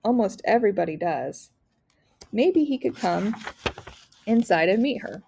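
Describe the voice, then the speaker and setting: A woman's voice: mid-range voice, expressive, measured pace, vocal fry, warm tone, slight background noise. A woman voicing an earnest, eager young girl in a storybook, pleading sincerely in folksy phrases. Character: earnest, eager young Southern preacher's daughter. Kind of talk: storybook read-aloud character voice.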